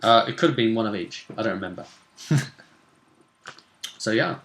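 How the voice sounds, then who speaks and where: Animated man with a bright voice. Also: lowish voice, normal speed, slight background noise. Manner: animated, conversational, asks rhetorical questions. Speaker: host and game master of a tabletop role-play vidcast. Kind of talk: casual recap and game commentary.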